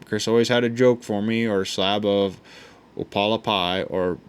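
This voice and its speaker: A man reads a memoir aloud with a somewhat low voice. He takes his time in a folksy, easygoing manner, often tripping over a word and starting it again. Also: steady tone, clear, resonant tone. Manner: unhurried, folksy, with frequent stumbles and restarts. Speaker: audiobook reader.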